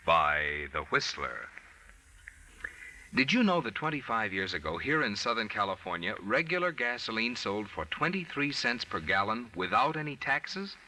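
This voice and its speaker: A man's voice: mid-range voice, sharp tone, low-quality recording. A man announcing a 1940s radio commercial with emphatic, polished salesmanship. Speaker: sponsor announcer. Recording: vintage 1940s radio recording, faint noise.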